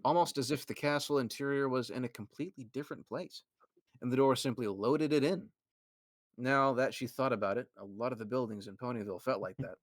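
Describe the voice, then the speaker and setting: A man's voice: medium pitch, fairly quick, no room sound. A young man casually reading a fan fiction aloud, often breaking into laughter and restarting lines.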